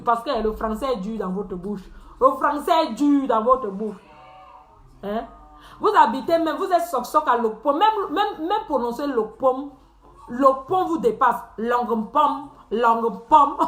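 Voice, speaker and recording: A woman with a high voice mocks her viewers in a teasing live-stream rant. She laughs often and repeats phrases for effect. The sound bounces around an echoey room. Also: a bit bright.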